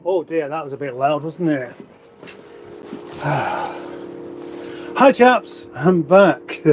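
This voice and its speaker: A man's voice: fairly high pitch, animated, drawn-out words, heavy background noise. A man with a runny nose, hosting a casual livestream, rambling chattily with wry asides as he reads out comments. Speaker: livestream host. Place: garage.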